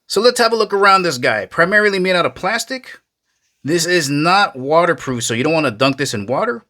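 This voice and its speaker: A man with a bright, forward voice presents a product review. His pitch moves up and down a lot as he goes. He keeps the wording casual and folksy, speaking straight to the viewer. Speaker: product reviewer, video host. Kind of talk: casual hands-on gadget review narration.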